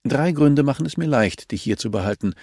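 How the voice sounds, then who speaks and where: A man voicing a cool, relentless policewoman in a crime audiobook, calm and insistent as she accuses a suspect. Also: mid-range voice, brisk, no room sound, clean studio sound, pitched above the performer's own voice.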